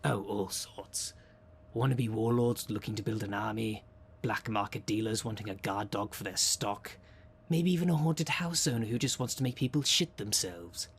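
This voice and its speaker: A man's voice: on the low side, normal speed, a little hiss or hum, lightly acted. A man playing a theatrical demon caretaker in an audio roleplay, formal and grandiose, with a dry sardonic salesman's manner. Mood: dry, businesslike. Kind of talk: scripted audio roleplay, addressing the listener.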